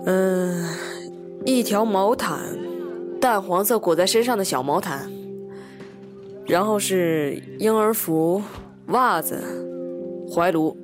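A woman's voice: medium pitch, takes it slowly, pauses often, over music, lightly acted. A woman playing a guarded young man in an audio drama, bargaining in a wary, matter-of-fact way.

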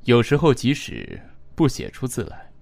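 A man acting as a calm, shrewd, enigmatic fortune teller in a radio suspense drama, speaking in measured, cryptic phrases. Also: mid-range voice, gravelly, slight background noise, exaggerated voice. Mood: pointed, insinuating. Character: calm, enigmatic, shrewd male fortune teller who reads written characters.

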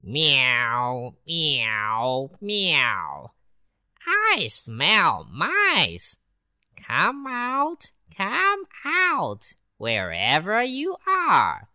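Lady putting on a sneaky hungry cat, drawn-out singsong meows, swoopy pitch, dry sound. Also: wildly swooping pitch, bright, forceful tone, no echo, exaggerated voice. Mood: taunting. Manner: slow purring taunting singsong. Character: sly hungry house cat, female, menacing. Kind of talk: children's storybook reading.

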